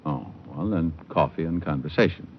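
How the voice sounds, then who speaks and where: An actor playing a suave, sardonic private detective, narrating and talking in a noir radio drama. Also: male voice, rumbling low, normal speed, slight background noise. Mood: wry, sardonic. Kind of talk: first-person noir radio narration and dialogue. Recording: muffled old radio recording with music. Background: music.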